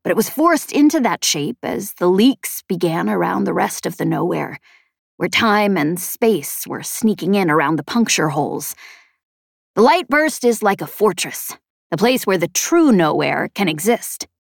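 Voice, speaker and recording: Audiobook part: knowing male guide, earnest, formal, deliberate phrasing voiced by a female narrator. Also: fairly low pitch, expressive, gravelly, no echo, studio quality, exaggerated voice.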